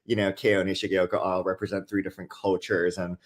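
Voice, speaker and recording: Young man from Hawaii, low voice, easygoing earnest guest in a talk interview. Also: fairly quick. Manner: earnest, relaxed, many fillers like "like" and "you know". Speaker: guest author. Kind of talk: casual conversational interview.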